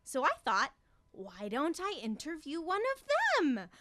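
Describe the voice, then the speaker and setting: A woman's voice: high voice, big pitch swings, a little slow, fairly bright tone, dry recording, heavily acted. A young woman playing a perky, naive schoolgirl in a campy radio comedy, reading her diary with exaggerated childlike delivery. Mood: perky, plucky. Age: young adult. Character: young schoolgirl Megan, chirpy, naive, gleefully rough. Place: small radio studio. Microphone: studio microphone on stand.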